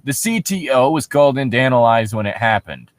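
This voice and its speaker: A man's voice: medium pitch, expressive, a little fast, no echo. Sarcastic man, dry close-miked commentary. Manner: sarcastic, incredulous, swears casually. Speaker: co-host reading and riffing on internet horror stories. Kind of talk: casual comedic commentary with read-aloud passages.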